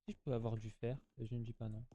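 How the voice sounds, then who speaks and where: A man's voice: medium pitch, level tone, quick pace, warm tone, lo-fi sound, a little hiss or hum. A man giving casual, slangy gameplay commentary, chatting to his viewers and often repeating himself. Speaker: gaming YouTuber.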